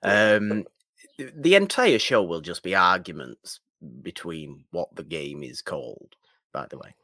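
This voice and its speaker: A man's voice: low-pitched, very animated, a little slow, pushed and bright, no echo. A man with a British accent co-hosting a film podcast in casual chat, a bit mock-pedantic and hedging as he talks. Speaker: podcast co-host.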